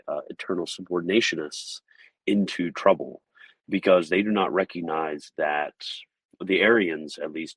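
A man's voice: sits low, a bit bright, husky, dry recording, rough recording. A man giving an informal, methodical theology lecture to a live audience from inside his car, working through numbered points. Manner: methodical, lays out numbered points and explains term by term. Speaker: livestream theology presenter.

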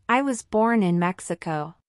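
A woman's voice: mid-range voice, lively intonation, a little slow, no room sound, studio quality, close to an everyday voice. A woman playing a polite married citizenship applicant in a scripted practice interview, answering formally and carefully in full sentences. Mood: polite, composed.

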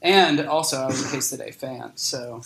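A man in his late twenties with a bright, forward voice. He tells stories casually and informally, as a band singer being interviewed, at a medium pitch. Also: normal speed, creaky, slight background noise, poor audio. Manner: colloquial, informal, frequent "like" and "dude". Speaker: guest, band vocalist.

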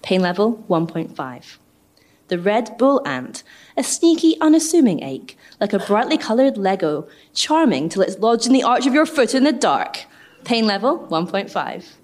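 A woman's voice: medium pitch, very animated, moderate pace. A woman reading aloud dramatically as a podcast panellist.

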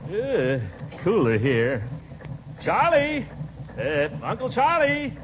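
An actor playing a pompous, lovesick middle-aged bachelor, blustering and sighing in 1940s radio comedy style. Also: male voice, high-pitched, huge pitch swings, takes it slowly, full character voice. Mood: idle, dreamy. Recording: muffled, noisy 1940s radio recording.

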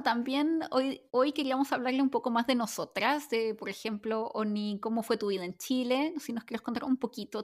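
A woman in her thirties co-hosting a chat podcast, chatty and upbeat, telling long flowing anecdotes. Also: on the high side. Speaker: podcast co-host. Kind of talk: casual chat.

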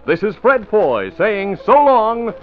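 A man's voice: high voice, very animated, slow. A man announcing and narrating a 1940s radio program in a dramatic, emphatic, scripted style. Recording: dull old radio recording.

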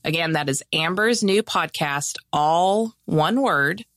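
A woman's voice: low voice, expressive, takes it slowly, a bit bright, dry recording, studio quality. A woman hosting her own business podcast, speaking in an upbeat, emphatic way, sounding nasal from a head cold. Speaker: podcast host. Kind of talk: casual solo podcast talk.

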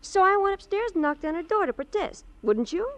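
An actress playing a chatty, grudging housewife in a 1950s radio crime drama, animated, wisecracking and given to mimicry. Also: female voice, extremely high pitch, a little fast, no echo. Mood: animated, indignant. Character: brassy, chatty, grudging housewife, gossipy former neighbor. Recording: dull, dry old radio recording.

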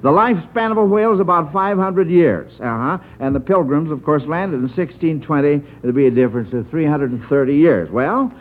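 A high-pitched American man works as a quizmaster on old-time radio. He talks with jovial, folksy showmanship, and the recording is dull and narrow like a vintage broadcast. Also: lively intonation, a little fast, few pauses. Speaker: radio quiz show host.

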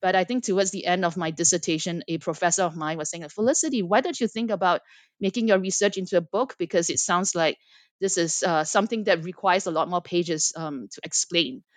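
A woman's voice: on the low side, brisk, dry recording. A woman from Singapore in her forties, an author answering interview questions thoughtfully, with many ums and restarts. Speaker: guest author. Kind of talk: academic interview.

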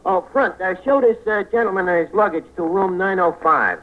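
A man's voice: high-pitched, normal speed, natural voice. A man acting a brash, wisecracking tavern keeper in a 1940s American radio comedy, with a hemming, word-mangling patter. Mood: bossy, wry. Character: brash, fast-talking male tavern keeper running a shabby hotel. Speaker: comedy show lead. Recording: scratchy, tinny old radio recording, loud noise.

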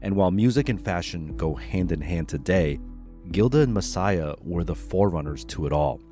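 A man with a low voice hosts a studio podcast, reading a polished scripted introduction and then chatting casually with his guests. Music plays clearly under his intro. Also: lively intonation, normal speed, warm tone. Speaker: podcast host. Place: New York studio.

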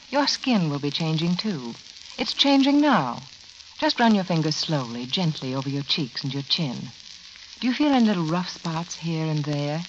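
A woman delivering a scripted 1940s radio advertisement as the show's hostess, measured, intimate and persuasive. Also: on the low side. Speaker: radio hostess and sponsor spokeswoman. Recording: muffled, noisy vintage radio recording.

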